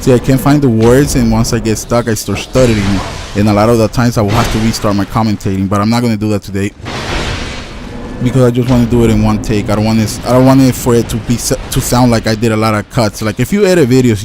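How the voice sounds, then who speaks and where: A young man with a Spanish accent, a native Spanish speaker, giving casual gameplay commentary and stumbling over his words as he rambles. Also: fairly low pitch. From Latin America. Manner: rambling, stutters and restarts often. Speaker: gaming YouTuber. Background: faint household noise, dog barking.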